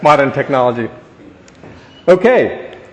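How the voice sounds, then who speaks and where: A man's voice: mid-range voice, big pitch swings, measured pace. A young man giving a humorous, self-deprecating speech to an audience, telling stories about where he grew up. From born in Ghana, grew up in Africa, Asia and the Caribbean. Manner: self-deprecating, joking, storytelling. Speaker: guest speaker. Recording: echoey hall.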